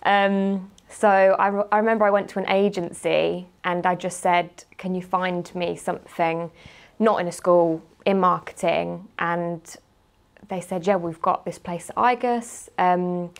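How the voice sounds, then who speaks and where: A young British woman in her twenties speaks with a slightly low voice. She is an interview guest, chatting informally and telling anecdotes in a hedging, conversational way. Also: fairly even intonation, a little slow, creaky. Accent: British English. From grew up partly in Spain, lives in the UK. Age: 20s. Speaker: interview guest, marketing manager.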